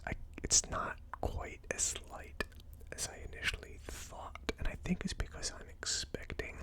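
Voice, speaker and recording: A person whispering in an ASMR style as host of a tasting video, with a tentative, musing delivery. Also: medium pitch. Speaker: host of a whiskey tasting series.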